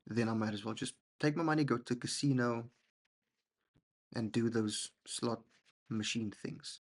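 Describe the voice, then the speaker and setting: A young man, a husky voice and a rambling, self-deprecating manner, walking through trades and often checking in with "okay?". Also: mid-range voice, a little slow. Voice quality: gravelly, husky. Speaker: trading channel host. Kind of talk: casual screen-share trading walkthrough.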